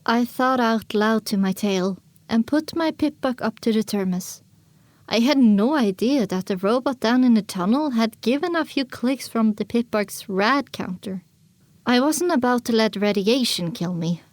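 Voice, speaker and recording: A woman plays a young filly pony narrating her own journey in a dramatic audiobook. The voice is fairly high and recorded very dry, with a reflective, scripted delivery. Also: expressive, unhurried, dry recording. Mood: descriptive. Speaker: first-person narrator.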